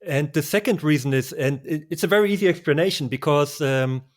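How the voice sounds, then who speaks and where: A man who grew up speaking talks in English as a guest on a travel podcast. He speaks earnestly in simple, slightly off phrasing, and his voice sits a little higher than most men's. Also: brisk. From born in Poland, grew up in Germany. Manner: earnest, simple phrasing with non-native slips. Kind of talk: casual interview chat.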